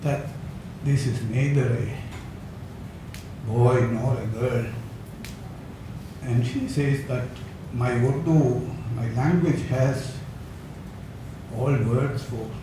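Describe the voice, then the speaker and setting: A man's voice: mid-range voice, extremely slow. Older man lecturing in echoey hall. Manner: hesitant, many fillers, chuckles. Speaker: academic speaker. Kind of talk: conference talk. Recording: echoey hall, distant mic, noisy.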